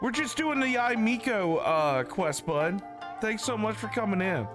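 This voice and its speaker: Lively rambling male game streamer. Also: high voice. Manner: rambling, halting, repeats words. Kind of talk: casual live stream commentary. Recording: game music behind the voice.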